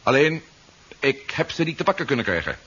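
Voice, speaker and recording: A man's voice: medium pitch, sing-song, a little fast, fairly bright tone, breathy edge. An actor playing a shrewd, wry policeman hero in a 1970s radio drama, with a dry manner. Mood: brisk, deceptive. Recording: dull, muffled 1970s radio recording.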